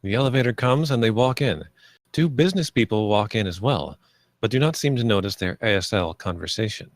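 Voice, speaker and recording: A man's voice: on the low side, lively intonation, a little fast, no room sound, clean studio sound. A man reading stage directions aloud as scripted narration.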